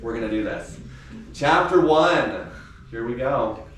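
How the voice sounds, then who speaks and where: Guy author reading his story out loud at an event, animated, echoey room with faint noise. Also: medium pitch, takes it slowly, far from the mic. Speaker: teacher. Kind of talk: informal live event talk and story read aloud. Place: indoor venue with a small live audience.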